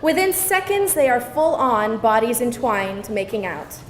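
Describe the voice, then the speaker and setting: A woman's voice: fairly high pitch, big pitch swings, a little slow. Woman narrating in an echoey room. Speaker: stage-direction reader. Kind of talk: scripted narration at live table read. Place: indoor venue, live reading. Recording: echoey room, faint noise.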